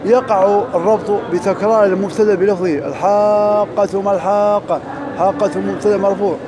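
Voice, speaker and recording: A man teaches Arabic grammar in a mosque. His high voice rattles off examples at a brisk pace and slows when he recites verses. A crowd murmurs clearly in the background. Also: steady tone. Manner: quick, drilling examples, recites verses slowly. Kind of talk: lesson parsing grammar and verse.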